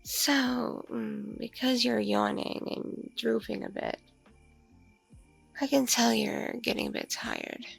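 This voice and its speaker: Give the part to a mature female vampire, acted by a woman, seductive and politely predatory. Her voice sits fairly high yet crackles heavily with fry. She murmurs slowly and intimately, doting on her prey in an ASMR roleplay and slipping into whispers. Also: sing-song, soft background music, full character voice. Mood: sated, pleased. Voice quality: husky, creaky.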